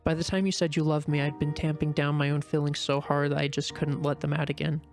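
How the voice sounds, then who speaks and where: A man voicing a shy, earnest young female rabbit in an amateur dramatic reading, speaking softly and from the heart. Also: on the high side, flat delivery, quick pace, vocal fry, soft background music. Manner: soft, heartfelt, anxious inner murmuring. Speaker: voice actor in a fan reading.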